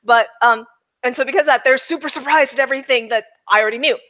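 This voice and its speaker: A woman's voice: high-pitched, fast talker, bright, forceful tone, lo-fi sound. A woman chatting casually as a podcast panelist, speaking emphatically and repeating phrases for stress. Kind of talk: casual roundtable chat.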